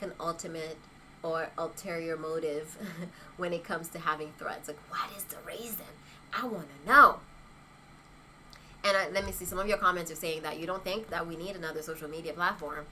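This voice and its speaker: A woman's voice: lowish voice, sharp tone, slight background noise, poor audio. A young woman chatting casually on a livestream as an influencer, reading viewer comments and laughing often between rhetorical asides. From Haitian heritage.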